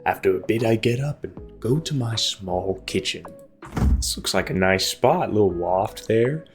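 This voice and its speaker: A man's voice: lowish voice, animated, measured pace. A man doing casual let's play commentary, reading visual novel text aloud and breaking off for joking asides. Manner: joking, self-interrupting asides. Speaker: gaming video host. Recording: background music behind the voice. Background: game music.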